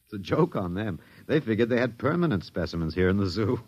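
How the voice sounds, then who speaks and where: An actor playing a wry, detached, philosophical American academic, musing and hesitant, in a 1950s radio drama. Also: male voice, on the low side, very animated, fast talker, a little mellow, no room sound. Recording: dull vintage 1950s radio recording.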